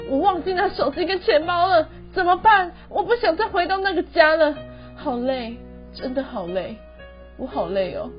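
High-voiced teen girl acting a wronged, bitter young wife. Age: teens. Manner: melodramatic, code-switching English words into speech. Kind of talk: amateur radio drama acting. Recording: muffled lo-fi recording with background music.

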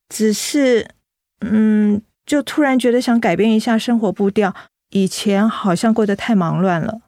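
A woman's voice: mid-range voice, unhurried, dry recording, clean studio sound, close to an everyday voice. An actress playing a young, ailing office woman who hides her troubles, speaking hesitant, apologetic Taiwanese Mandarin in a radio drama. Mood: weary, evasive. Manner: hesitant, apologetic, softly evasive.

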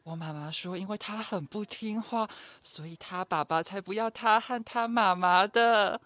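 A woman plays a spiteful little girl in a radio play, gossiping in a hushed voice. The sound is very dry, with no room around it. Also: medium pitch, normal speed, no echo, close to an everyday voice. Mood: gossipy. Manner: whispery, gossiping.

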